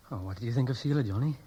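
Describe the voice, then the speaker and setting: A man's voice: medium pitch, dark tone, slight background noise, close to an everyday voice. An actor playing an eager, dutiful, unworldly Welsh groom in his early twenties, boyish and hesitant, in a 1960s radio drama. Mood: cheerful, easy. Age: young adult.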